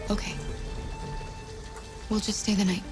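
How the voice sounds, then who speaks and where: A woman plays a caring female android who shelters a child, in a naturalistic game drama. Her medium-pitched voice is soft, earnest and reassuring, with music and rain behind her. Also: little pitch movement, measured pace, lightly acted. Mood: calming. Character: gentle, protective female android caring for a young girl. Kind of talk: naturalistic video game acting.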